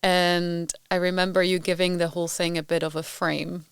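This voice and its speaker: A woman chats with a guest in a casual interview, sometimes wandering through her sentences. Her voice is lowish and smooth, recorded close and dry. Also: little pitch movement, normal speed, no room sound. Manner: warm, chatty, a little rambling. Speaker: interviewer, former PhD student.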